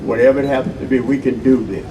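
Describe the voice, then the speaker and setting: An older man, soft-spoken and earnest, giving short encouraging remarks at a community meeting. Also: medium pitch, fairly even intonation, quick pace. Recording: distant mic with noise behind.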